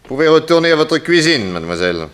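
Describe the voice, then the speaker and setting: An actor playing a shrewd, authoritative private detective with dry irony in a 1960s radio drama. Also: male voice, fairly high pitch, very animated, fast, fairly bright tone. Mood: dry, commanding.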